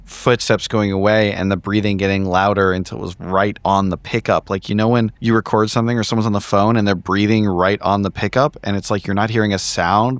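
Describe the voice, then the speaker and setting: A man's voice: lowish voice, no echo. A man playing a tabletop roleplaying game, improvising in character with casual, sweary, analytical talk.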